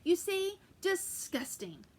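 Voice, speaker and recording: A woman voicing a grumpy, hungry male giant who booms his complaints loudly. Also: big pitch swings, unhurried, exaggerated voice. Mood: grumbling.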